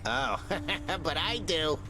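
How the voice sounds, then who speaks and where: An actor voicing a cocky, joking undead skeleton in a video game, with a brash, streetwise, teasing manner. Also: male voice, high-pitched, animated, drawn-out words, vocal fry, full character voice. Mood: teasing, amused. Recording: loud music under the voice.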